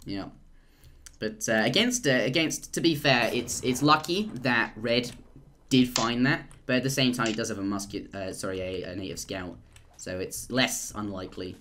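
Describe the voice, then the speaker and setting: A young man casting a live strategy game, rambling and jokey, and getting excited at big plays. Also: medium pitch, moderate pace, a little hiss or hum. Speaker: esports caster.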